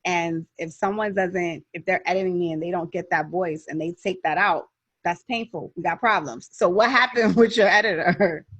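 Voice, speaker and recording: A woman's voice: fairly low pitch, moderate pace, fairly bright tone, breathy edge, dry recording, lo-fi sound. A woman hosting a casual interview over a video call, chatty and encouraging as she asks her guest questions. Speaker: host and editor.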